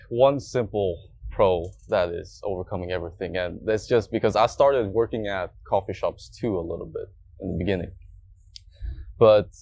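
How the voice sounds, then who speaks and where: Low-voiced man from Sweden. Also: darkish tone. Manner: easygoing, filler-heavy. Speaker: guest, startup co-founder. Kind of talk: casual interview. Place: coworking space.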